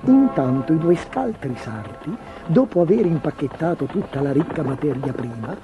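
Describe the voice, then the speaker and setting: A man's voice: a little high, lively intonation, normal speed. A man narrating a scripted fairy tale for children as a storyteller. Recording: dull, muffled lo-fi track. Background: music.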